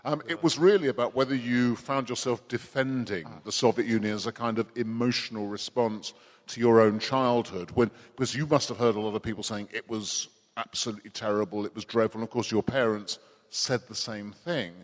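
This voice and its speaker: A man's voice: mid-range voice, steady tone, a little fast. A man conducting an interview, asking long, winding questions with a dry wit. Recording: long hall reverb.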